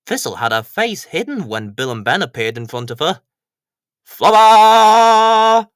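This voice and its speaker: A man's voice: medium pitch, very animated, fairly quick, pushed and bright, dry recording, clean studio sound. A man narrating a scripted children's story as storyteller.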